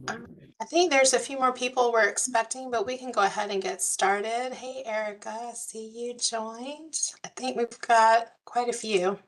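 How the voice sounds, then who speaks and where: Lady running a video call meeting, hoarse from a cold, says y'all, friendly and by the book. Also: a little high, fairly even intonation, normal speed, poor audio. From US South. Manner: friendly, procedural, uses y'all. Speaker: meeting facilitator, policy advisor. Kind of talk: online work group meeting.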